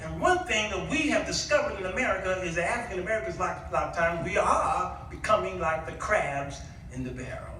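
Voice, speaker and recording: A man preaching an impassioned sermon, exhorting his listeners with pauses and rhetorical questions. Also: high-pitched, expressive, pushed and bright, slight background noise. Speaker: guest preacher. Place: large echoey indoor church with congregation. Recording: echoey church, distant mic, congregation responding.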